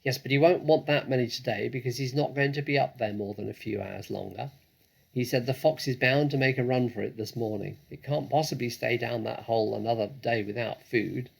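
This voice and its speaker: A man voicing a bossy, impatient mistress of the house in a storybook, calling out loudly and snappishly. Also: mid-range voice, a little fast, vocal fry, breathy edge. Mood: brisk.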